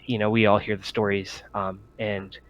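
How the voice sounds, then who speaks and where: Man playing a hesitant, low-voiced weary survivor. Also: flat delivery, muffled recording, natural voice. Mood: tired, resigned. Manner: hesitant, halting. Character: weary, evasive male survivor, uneasy scavenger.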